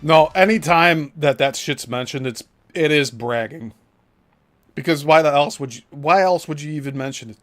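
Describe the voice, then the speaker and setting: A man's voice: fairly high pitch, normal speed, fairly bright tone, no room sound. A man hosting a reaction commentary over clips, scornful and profane, mocking the person in them.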